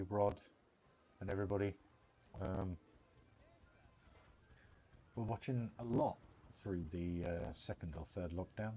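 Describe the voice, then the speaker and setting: A man's voice: fairly low pitch, warm tone, a little hiss or hum, low-quality recording. A British man hosting a game livestream, chatting informally and rambling as he thinks aloud. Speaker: livestream host. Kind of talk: casual game livestream commentary.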